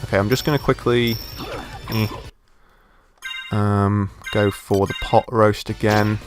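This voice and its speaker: A man with a croaky voice from a sore throat, casually commenting while he plays a game and thinks aloud. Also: on the low side, steady tone, takes it slowly, over music. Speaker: gameplay commentator. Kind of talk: casual let's play commentary.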